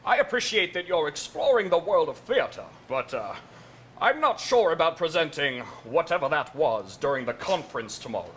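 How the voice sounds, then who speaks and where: An actor playing a weary, skeptical starship captain, tactful but exasperated, in a live comedy stage reading. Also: male voice, a little high, big pitch swings, moderate pace, fairly bright tone, a little hiss or hum. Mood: weary, reluctant. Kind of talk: musical comedy stage acting.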